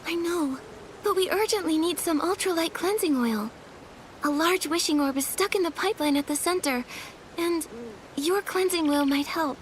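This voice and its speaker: A woman's voice: very high-pitched, moderate pace, slight background noise. A woman voicing a kind, earnest teenage girl heroine with an encouraging manner in a video game cutscene. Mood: concerned.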